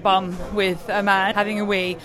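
A woman's voice: mid-range voice, level tone, moderate pace, music in the background. A woman podcast contributor talking and asking questions in a casual, chatty style. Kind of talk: casual chat. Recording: crowd chatter behind.

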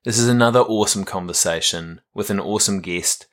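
A man hosting a podcast at home, relaxed and upbeat, with plenty of fillers. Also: fairly low pitch, a little fast. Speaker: podcast host. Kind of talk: casual podcast interview. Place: home, living room.

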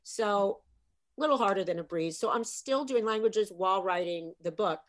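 Middle-aged woman author, chatty and animated, mixes in italian words, regular pitch. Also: moderate pace. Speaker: author guest. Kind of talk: casual video chat.

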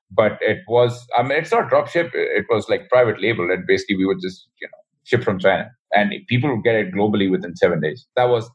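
Fast-talking man with a husky voice. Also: fairly low pitch, rough recording. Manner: fast, rambling, frequent restarts. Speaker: guest, ecommerce founder. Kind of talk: casual interview talk.

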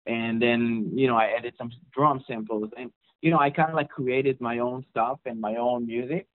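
A man's voice: mid-range voice, fairly even intonation, normal speed. A young man with an Israeli accent, chatting casually as an interview guest about his work as a mixing engineer, rambling with many fillers and restarts. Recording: dry, phone-like audio.

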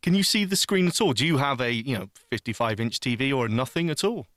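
A man's voice: mid-range voice, lively intonation, quick pace, a bit bright, no room sound, studio quality. A man co-hosting a podcast, cracking teasing quips in casual chat. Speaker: podcast co-host.

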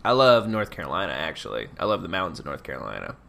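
A man's voice: sits low, sing-song, fast, vocal fry, a bit bright. A man co-hosting a podcast in casual chat, telling rambling stories with a slightly stuffy nose. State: a little stuffy. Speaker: podcast co-host.

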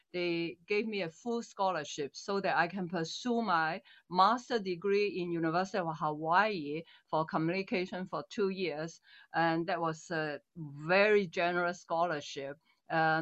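A woman's voice: on the low side, a bit bright, muffled recording. A woman in her sixties from Hong Kong with a Cantonese accent, answering interview questions as a politician, thoughtful and full of fillers and restarts.

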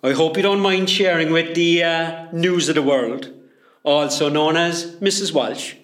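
A man's voice: on the high side, steady tone, measured pace, fairly bright tone, echoey room. A man playing a cheerful, gossipy hospital porter in a comedy radio play, chatty and familiar. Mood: chatty, teasing.

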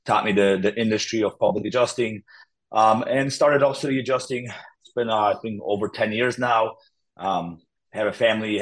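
Easygoing low-voiced man in his thirties. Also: rough recording. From Poland. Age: 30s. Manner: easygoing, laughs easily, many fillers. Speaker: guest, public adjuster. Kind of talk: casual interview talk.

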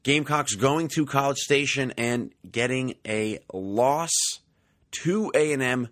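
A young man, hosting a sports podcast in a casual, chatty monologue, his voice slightly raspy. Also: medium pitch, slow pace, fairly bright tone, no room sound, studio quality. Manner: chatty, conversational, many fillers. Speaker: podcast host.

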